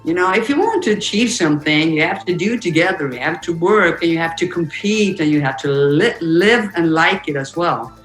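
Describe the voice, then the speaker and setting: An older woman with Swedish as her first language, answering interview questions thoughtfully over a video call, with fillers and pauses. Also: on the low side, expressive, echoey room, faint music behind, low-quality recording. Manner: thoughtful, halting speech with fillers. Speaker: interview guest, football coach. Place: office room indoors.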